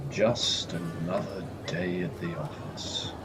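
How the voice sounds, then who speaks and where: Man acting as Death, low, slow and husky. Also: heavily acted. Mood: weary. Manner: deadpan, curt, formal, precise. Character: Death, weary, stern, gentlemanly and easily irritated. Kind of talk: radio play acting.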